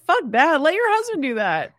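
A woman's voice: sits high, wildly swooping pitch, normal speed, a bit bright, no echo, studio quality. A woman co-hosting a podcast, chatting casually in a blunt, wry and playful way. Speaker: podcast co-host. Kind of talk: casual chat.

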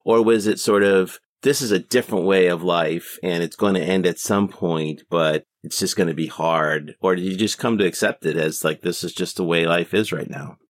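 A man's voice: sits low, normal speed, hardly any pauses, dry recording, studio quality. A man hosting a podcast, interviewing in a casual, conversational style. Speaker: podcast host.

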